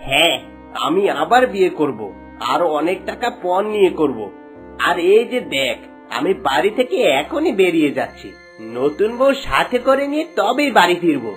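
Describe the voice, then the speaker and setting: A bullying village husband in a melodramatic cartoon, greedy and cruel. The delivery is domineering and full of exclamations, leaping widely in pitch, with music softly behind. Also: female voice, big pitch swings, moderate pace. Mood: spiteful. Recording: music bed under voice.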